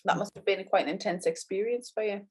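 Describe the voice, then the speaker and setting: A woman's voice: mid-range voice, breathy edge. Upbeat woman hosting a chat podcast. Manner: upbeat and eager, quick asides. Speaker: podcast host.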